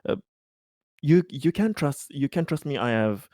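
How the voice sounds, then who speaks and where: A man's voice: on the high side, animated, measured pace, a little mellow, dry recording, studio quality, voice pushed up. A man playing a hesitant, apologetic colony boss in a tabletop roleplaying game, stammering as he tries to sound earnest but comes off a little shifty. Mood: apologetic, defensive. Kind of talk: improvised tabletop roleplay dialogue.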